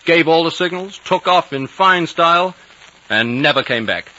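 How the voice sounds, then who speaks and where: Bright young English pilot. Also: male voice, on the high side, lively intonation, moderate pace. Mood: breezy, animated. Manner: breezy officer talk full of British slang. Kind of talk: 1950s radio drama acting. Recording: dull vintage radio recording.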